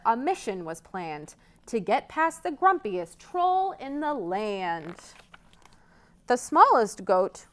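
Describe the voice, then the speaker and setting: A woman reading a children's story aloud as a library storyteller, expressive, pausing to ask and explain. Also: fairly high pitch.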